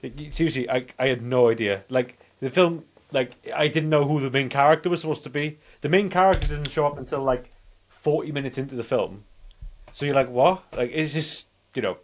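A man's voice: mid-range voice, brisk. A British man in his forties co-hosting a casual film podcast, making points emphatically and repeating himself for effect. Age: 40s. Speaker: film review podcast co-host.

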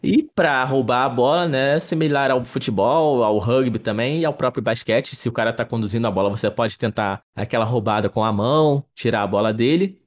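A man's voice: medium pitch, fairly quick, hardly any pauses. Casual young man explaining on a podcast. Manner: relaxed, explains with many tag questions. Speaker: podcast co-host.

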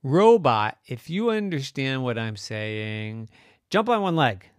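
A man voicing an eager, excitable little boy in a children's story, with an exclamatory, sing-song way of talking. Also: medium pitch, takes it slowly, dry recording, clean studio sound. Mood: puzzled, insistent.

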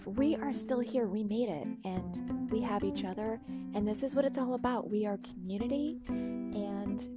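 An American woman hosting a podcast in an informal, upbeat and encouraging chatty style. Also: mid-range voice. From United States. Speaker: podcast host.

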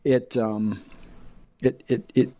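A man hosting a casual podcast conversation, talking in a flat voice with frequent restarts and trailing thoughts. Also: mid-range voice, slow pace, dry recording. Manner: rambling, restarts often, self-described flat voice.

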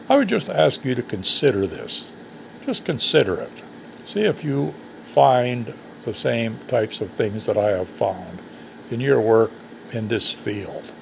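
Slow, gravelly Upper Midwest American man. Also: mid-range voice, a little hiss or hum, rough recording. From United States. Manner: measured, earnest, rhetorical questions. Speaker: English teacher. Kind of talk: reflective talk to camera.

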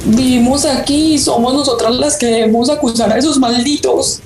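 A troubled young Colombian daughter in a radio drama, respectful but insistent. Her high voice sits in a roomy space with rain and thunder. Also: steady tone, moderate pace, background noise. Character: troubled, questioning young daughter seeking justice. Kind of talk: audio drama acting.

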